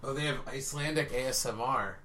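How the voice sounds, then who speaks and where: A man's voice: medium pitch, fast, sharp tone, a little hiss or hum. A young man co-hosting a comedic podcast, goofy and playfully insistent. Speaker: podcast co-host.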